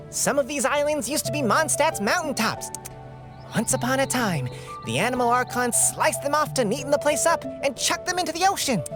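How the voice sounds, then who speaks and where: A woman voicing a chatty, genteel, old-fashioned and indignant squirrel in a video game. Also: high voice. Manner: genteel storytelling, quaint turns of phrase. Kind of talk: video game character acting.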